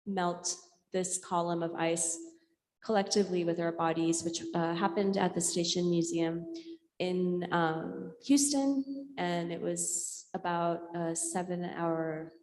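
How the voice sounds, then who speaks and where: A woman from India whose native language is Urdu speaks in a long, reverberant hall. Her voice is on the low side and keeps to a flat, even line. She presents like an artist explaining her work informally, thoughtful and halting, with many filled pauses. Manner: hesitant, reflective, many pauses with filled ums. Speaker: visual and performance artist giving a talk.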